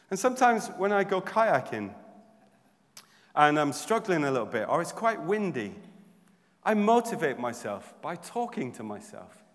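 A man preaching a sermon, turning from quiet reflection to loud, humorous exhortation. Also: a little high. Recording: long hall reverb.

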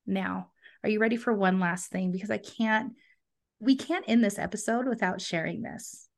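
A woman's voice: mid-range voice, moderate pace. A woman co-hosting a podcast, chatting enthusiastically and speaking straight to her listeners. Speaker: podcast co-host. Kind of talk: casual podcast chat.

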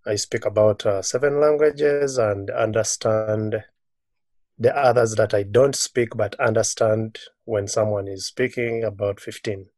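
A young man from Uganda, a podcast guest speaking casually and hesitantly, pausing often. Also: on the low side, steady tone, darkish tone, no echo. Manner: hesitant, many pauses and "uh"s. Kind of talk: casual conversation.

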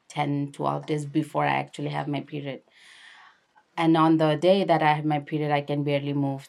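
Low-voiced young Nepali woman. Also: gravelly, a little mellow. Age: young adult. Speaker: interview guest, activist and filmmaker. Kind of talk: conversational personal storytelling.

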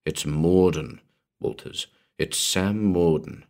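A man voicing a softly menacing, vengeful killer in a gentle, low, husky hush for a dramatic story. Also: very animated, heavily acted. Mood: hushed menace. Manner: slow, gentle, taunting. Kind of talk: dramatic audiobook character reading.

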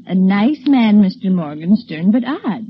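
A woman's voice: medium pitch, very animated, a little slow. Gossipy-paced folksy landlady, colloquial and rambling, vintage radio drama with muffled, faintly noisy sound. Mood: chatty. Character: chatty, folksy female rooming-house landlady. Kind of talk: 1940s radio drama acting. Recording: muffled, hissy old radio broadcast.

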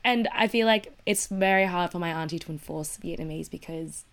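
A woman in casual conversation as a podcast co-host, animated and chatty, often saying "like". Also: on the low side, normal speed.

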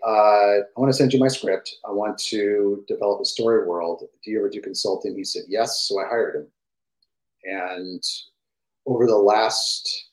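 A middle-aged man with a fairly deep voice, chatting enthusiastically as a podcast guest through a gaming headset, with fillers and restarts. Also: a little mellow, poor audio. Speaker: guest, game industry executive. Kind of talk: casual podcast chat.

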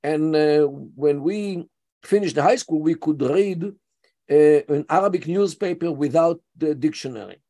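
A man's voice: fairly high pitch, unhurried, mellow. A man in his seventies with an Israeli accent, speaking as a guest scholar in an interview, hesitant with many fillers but emphatic. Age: 70s. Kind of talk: interview conversation.

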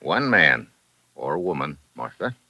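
An actor playing a tough, wry American investigator in a clipped, assured 1950s radio drama style. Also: male voice, a bass voice, big pitch swings, measured pace, sharp tone, breathy edge, dry recording, full character voice. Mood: brisk, assured. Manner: clipped, hard-boiled, assured. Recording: old radio drama recording.

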